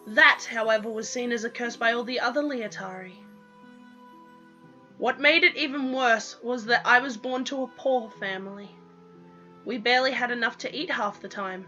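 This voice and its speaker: A woman's voice: fairly high pitch, pushed and bright. A young woman giving a dramatic first-person reading of a story, on a lo-fi recording with music behind. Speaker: reader of own story.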